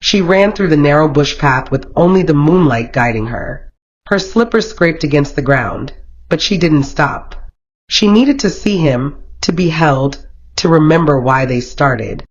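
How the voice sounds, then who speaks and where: A storyteller narrates a romantic folktale from a script. The delivery is dramatic and expressive at a middling pitch. The recording sounds dull and lo-fi.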